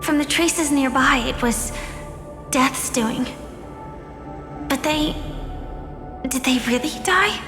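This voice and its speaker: Earnest young heroine, high-pitched, soft-spoken, wary yet resolute, video game cutscene, long reverb with music. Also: female voice, slow, long pauses. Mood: curious, concerned. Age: young adult.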